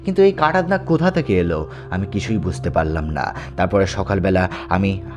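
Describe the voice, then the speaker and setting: A man's voice: sits low, animated, brisk. A man hosting a horror show and narrating first-person ghost stories dramatically. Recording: music bed under the voice. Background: background music.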